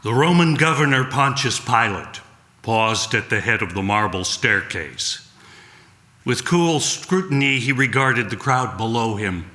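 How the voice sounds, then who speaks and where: Male reader for solemn dramatic church narration. Unhurried, theatrical, clear-cut forceful tone, roomy echo. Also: mid-range voice, lively intonation. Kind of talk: dramatic scripted narration of a Passion story. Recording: echoey room sound.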